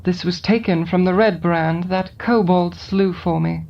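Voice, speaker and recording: A woman voicing a composed, scheming adult woman in an audio drama, calm and coolly persuasive. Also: on the low side, unhurried, vocal fry. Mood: measured. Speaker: voice actor. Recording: dull lo-fi recording with faint music behind.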